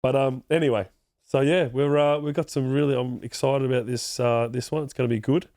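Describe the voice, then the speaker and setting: A man's voice: mid-range voice, steady tone, brisk, a little mellow, dry recording, studio quality. Nasal-voiced podcast host man with a cold. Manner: hesitant, filler-heavy. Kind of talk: casual podcast chat.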